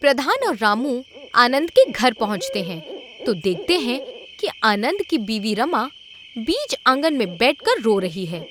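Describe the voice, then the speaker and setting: A woman narrating a scripted animated horror story. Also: fairly high pitch, a little slow, background noise.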